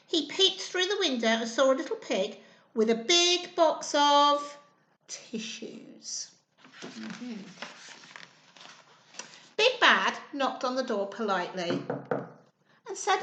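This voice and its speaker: A woman's voice: high-pitched, pushed and bright. A woman hosting children's storytime, talking simply and encouragingly to small children in a sing-song way. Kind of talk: children's storytime reading and chat. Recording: roomy, echoey indoor sound.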